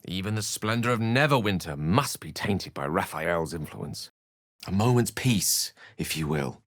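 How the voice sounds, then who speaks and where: A learned, self-possessed wizard from a fantasy video game. His voice sits a little low in a dry, studio-clean space. He shapes ornate phrases with care, theatrical flair and dry wit. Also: lively intonation, unhurried, a bit bright, dry recording. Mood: thoughtful. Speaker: voice actor in a fantasy role-playing game. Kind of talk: theatrical game dialogue in short separate lines.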